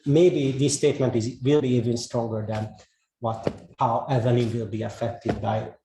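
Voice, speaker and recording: A man whose first language is Hungarian gives a talk to a live audience, speaking slowly with many fillers and restarts. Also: medium pitch, steady tone. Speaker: speaker giving a talk on AI tools. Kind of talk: presentation to an audience. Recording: echoey room, faint noise.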